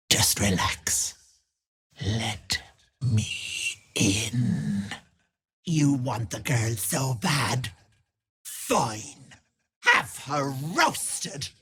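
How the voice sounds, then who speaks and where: A wicked old granny witch for a video game, very husky and cracked. She draws her words out slowly and swings widely in pitch, dripping false sweetness over open menace. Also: a bit bright, full character voice. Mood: sinister, gloating. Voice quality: husky, raspy cackling old voice. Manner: mock-sweet endearments, taunting, menacing. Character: gleefully malicious old hag, sweet-talking folksy granny witch. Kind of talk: theatrical video game character acting.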